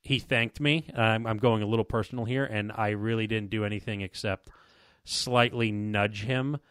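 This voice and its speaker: Male podcast host, lowish pitch, dry close sound, casual rambling talk. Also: steady tone, moderate pace, a bit bright, clear, resonant tone, no room sound. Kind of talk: casual podcast chat with sponsor reads.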